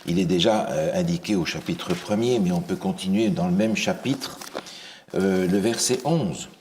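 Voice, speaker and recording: A man preaching a Bible study, asking the listeners questions and pausing on fillers and restarts. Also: low-pitched, darkish tone, slight background noise. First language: not English. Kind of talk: interactive Bible teaching.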